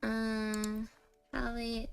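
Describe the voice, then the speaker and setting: A woman's voice: on the high side, completely flat delivery, drawn-out words, vocal fry, clear, resonant tone, faint music behind. A woman livestreaming a game with casual chat, gushing and giggly as she reacts aloud. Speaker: streamer.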